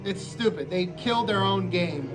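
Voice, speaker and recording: A man's voice: high-pitched, lively intonation, moderate pace, over music. A man chatting casually about games, opinionated and blunt, swearing and repeating his points. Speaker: co-host. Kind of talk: casual gaming chat.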